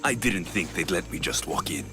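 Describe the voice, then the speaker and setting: An actor playing a blunt young Spartan mercenary in a video game, speaking tersely and drily. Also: male voice, sits low, expressive, moderate pace. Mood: guarded. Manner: terse, dry, matter-of-fact. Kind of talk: video game cutscene acting. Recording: game audio with loud score behind.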